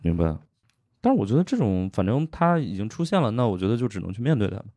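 A man hosting a relaxed chat podcast in a low-pitched voice. He steers the talk with questions to his guests and keeps up a steady stream of brief agreements while they speak. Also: quick pace. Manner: asks questions, frequent brief acknowledgements. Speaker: podcast host.

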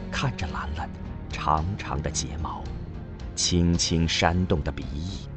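A man giving solemn, expressive scripted narration for a science fiction audio drama. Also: medium pitch. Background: music.

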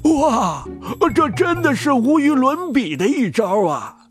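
A man narrating a children's story in an expressive manner. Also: extremely high pitch, slow pace. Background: music.

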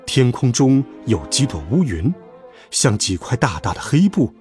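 A man narrating a children's story in an expressive, scripted storytelling style. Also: mid-range voice, measured pace. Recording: music behind the voice. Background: music.

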